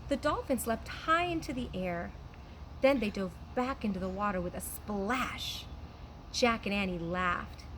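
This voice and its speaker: A woman reading a children's story aloud outdoors in an animated teacher's way. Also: mid-range voice, unhurried. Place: outdoors on a trampoline. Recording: background noise, outdoor ambience.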